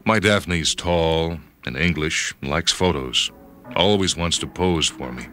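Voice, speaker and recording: A man as a deep-voiced, brooding ageing athlete. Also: measured pace, sharp tone, faint music behind. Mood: reflective. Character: ageing, tough, anxious male star athlete narrating his story. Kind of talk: dramatic first-person short story reading.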